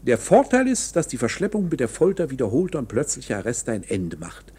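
A man acting in a German radio drama as a slippery, eccentric court insider who explains things in an insinuating, theatrical way with dramatic pauses. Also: medium pitch, wildly swooping pitch, darkish tone, breathy edge, heavily acted. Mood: persuasive. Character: slippery, eccentric male court insider coolly explaining hopeless legal options.

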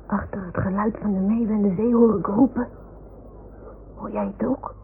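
A woman's voice: medium pitch, steady tone, normal speed, noisy background, close to an everyday voice. A woman playing an imaginative little boy in a radio play, speaking with childlike earnestness. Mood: hushed wonder. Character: imaginative little boy talking with his toy animals. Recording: muffled phone-like old radio recording.

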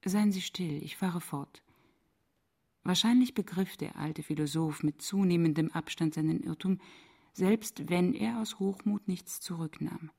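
An actress playing a defiant, sardonic woman scholar in a radio play, speaking deliberately and provocatively. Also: fairly low pitch, gravelly, a little mellow. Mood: cool, mocking.

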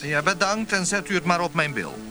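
A man's voice: on the high side, very animated, brisk, sharp tone, soft background music, exaggerated voice. An actor playing an impatient, curious male motel guest in a comic radio play, brusque and insistent. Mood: brisk, demanding.

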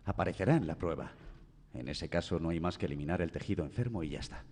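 An actor with a Castilian Spanish accent playing an authoritative, reassuring doctor in a radio drama. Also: male voice, low-pitched, expressive, quick pace, a little mellow, slightly rough. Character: calm, authoritative male doctor reassuring a worried mother. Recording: reverberant studio radio drama.

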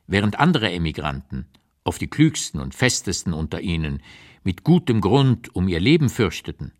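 An actor playing an elderly male writer looking back on his life, reading memoir prose in a measured, emphatic, carefully articulated way for a radio play. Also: low-pitched. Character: 85-year-old writer looking back on his exile years, reflective and candid.

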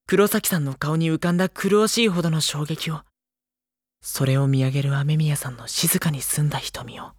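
A man's voice: a little high, slightly rough, no echo, studio quality. An actor playing a sensitive teenage boy who narrates an audio drama in a solemn, earnest, emotional and literary style. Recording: loud string music behind narration. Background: cello and bowed string music.

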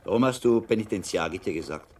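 An actor playing a shrewd, learned friar in a radio drama, speaking in a measured, thoughtful and wry way. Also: male voice, fairly low pitch, expressive, darkish tone, close to an everyday voice. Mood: probing.

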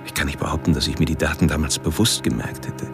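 An actor playing a cold-blooded, philosophizing serial killer who narrates a radio crime drama in a deliberate, confiding, coolly pompous way. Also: male voice, very deep voice, steady tone, vocal fry. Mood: confiding, wry. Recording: music under the voice.

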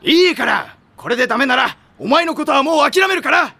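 An actor playing a brash, easily shocked high school boy with over-the-top reactions in a Japanese anime audio drama. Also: animated, fairly quick, vocal fry, bright, forceful tone, dry recording, full character voice. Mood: bossy, fired up. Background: background music.

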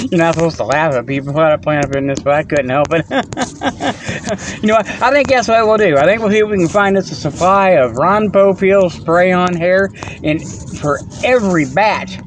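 A man with a high voice rambles on, chuckling and restarting his sentences as he teases. He records it himself on a phone. A faint hum of background noise sits under him. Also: animated, unhurried. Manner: playful, teasing. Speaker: host. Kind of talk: rambling comic monologue.

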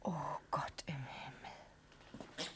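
An actress playing a devoted, passionate young noblewoman in a classical German verse radio drama, emotional, declamatory and breathy. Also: very slow, whispered. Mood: hushed, overcome.

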